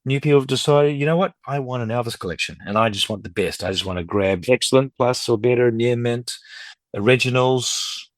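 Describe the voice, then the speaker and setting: A man's voice: mid-range voice, brisk, no room sound. Rambling, upbeat man in his fifties. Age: 50s. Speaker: guest, record collector and dealer. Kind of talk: casual interview chat.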